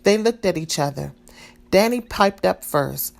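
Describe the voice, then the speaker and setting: A woman reading a children's storybook aloud in a calm, expressive way. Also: on the low side, measured pace, vocal fry. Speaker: storybook reader.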